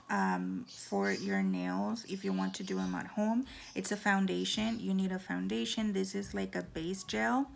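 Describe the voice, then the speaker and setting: Hoarse raspy woman chatting casually. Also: medium pitch. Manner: chatty, filler-heavy, drops into whispers. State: hoarse from talking. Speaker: nail tutorial vlogger. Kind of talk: casual how-to chat. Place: home.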